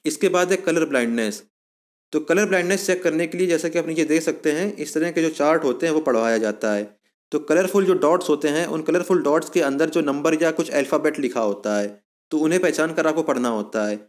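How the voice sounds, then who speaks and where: A man's voice: on the high side, fairly quick. A man giving an informal explanatory lesson as an exam coaching presenter, going step by step and repeating himself to recap.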